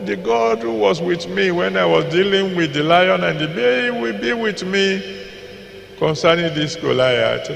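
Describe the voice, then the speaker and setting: An older man with a Nigerian accent preaching a sermon into a handheld mic in a large packed auditorium, slowly repeating scripture references and decreeing blessings. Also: high voice, expressive, vocal fry, a bit bright. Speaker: pastor. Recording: echoey hall with long reverb.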